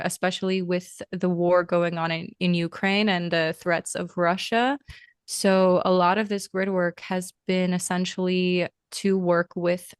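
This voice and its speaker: A woman's voice: fairly low pitch, clear, resonant tone, no room sound, clean studio sound. A young woman who grew up between Lithuania and the US, talking earnestly and meanderingly as a podcast guest, with frequent fillers. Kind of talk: casual interview conversation.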